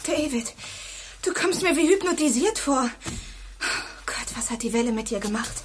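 A woman's voice: high voice, animated, moderate pace, a little hiss or hum. An actress playing a steadfast, sceptical teenage schoolgirl in a radio play, earnest and resolute. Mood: dismayed. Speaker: actor. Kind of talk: German radio play acting.

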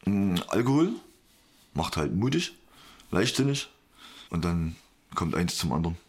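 A man with a low voice answers an interviewer. He talks casually and plainly, in an unembellished, matter-of-fact manner. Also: very animated, unhurried. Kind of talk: casual, plain-spoken personal account.

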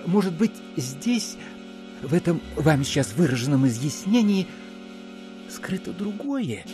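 An actor playing a flustered, deferential provincial landowner in an audio drama, stammering and apologizing in ornate, sugary phrases. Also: male voice, fairly high pitch, sing-song, unhurried, music in the background. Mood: bewildered, apologetic. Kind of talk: theatrical radio-play acting.

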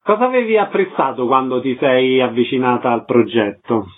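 This man speaks at a medium pitch through a thin, phone-like radio sound. He presents and asks questions as a theatre show's interviewer would. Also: expressive, normal speed.

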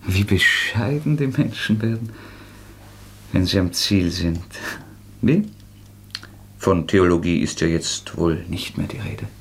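An actor playing a proud, bitter young student murderer in a radio play, with an intense, sardonic, defiant delivery. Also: male voice, deep voice, slow, pauses often. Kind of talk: German radio play acting.

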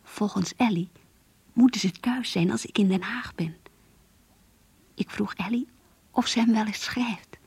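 A woman playing a naive, pious schoolgirl in love, breathy and earnest, confiding her longings in a radio play. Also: mid-range voice, a little slow, pauses often, darkish tone, no room sound. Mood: wistful, confiding. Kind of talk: radio drama, diary-like monologue and dialogue.

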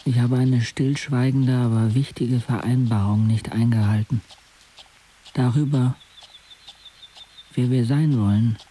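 A man's voice: medium pitch, fairly even intonation, unhurried, long pauses, dark tone, husky. An actor playing a brooding, disillusioned young man who narrates his life in an introspective, sardonic way for a radio drama. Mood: bitter, resigned. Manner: introspective, sardonic, literary phrasing.

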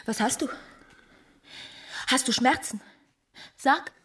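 A woman acting a passionate, anxious, yearning young wife in a radio drama, with an intense and breathy delivery. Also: sits high, slow, fairly bright tone, full character voice. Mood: pleading, worried.

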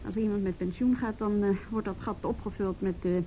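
A woman's voice: mid-range voice, steady tone, quick pace, dark tone, natural voice, poor audio. A weary policewoman in a radio play, played by an actress, with a mid-range voice. She is matter-of-fact and a little sardonic. A faint noise runs underneath. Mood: weary, resigned. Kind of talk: naturalistic radio drama acting.

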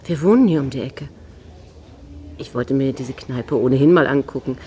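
An actress playing a forthright, flirtatious and discontented middle-aged academic's wife in a radio drama, wry and teasing. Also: female voice, very deep voice, moderate pace, mellow, a little hiss or hum. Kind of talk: naturalistic radio drama acting.

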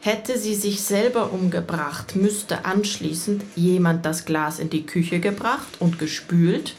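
A woman playing a composed police inspector in a radio play, speaking in a measured, factual way with a gravelly edge. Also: lowish voice. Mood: matter-of-fact. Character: composed, matter-of-fact female police inspector. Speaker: voice actor in an audio drama. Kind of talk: German radio play acting.

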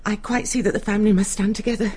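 A woman's voice: mid-range voice, fairly even intonation, racing, a little mellow, a little hiss or hum, close to an everyday voice. An actress playing a nervous, timid married woman in a radio drama, anxious and hesitant.

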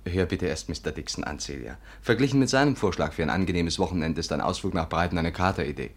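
A man playing a smooth-talking, slightly sheepish charmer in a 1950s German radio drama. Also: sits low, expressive, quick pace. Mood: coaxing.

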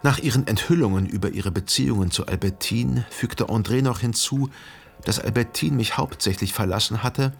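An actor playing a brooding, melancholy man who recalls a dead lover in reflective, measured radio drama narration. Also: lowish voice, gravelly, breathy edge. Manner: reflective, measured, analytical monologue.

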